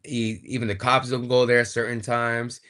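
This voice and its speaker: A man's voice: mid-range voice, level tone, normal speed, fairly bright tone. A man, a wrestler, chatting as an interview guest and explaining things in a plain-spoken, casual way.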